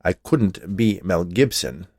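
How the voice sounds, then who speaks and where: A man's voice: low voice, expressive, takes it slowly, a little mellow, dry recording, studio quality, heavily acted. A man voicing a stern, fussy small health inspector with a curt, pedantic manner in a storybook tale. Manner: officious, pedantic, curt, defensive.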